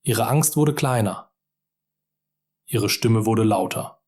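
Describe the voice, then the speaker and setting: Slow, deliberate male German narrator. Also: on the low side, a little mellow, breathy edge. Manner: deliberate, encouraging direct address to the listener. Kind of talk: slow scripted storytelling for German learners.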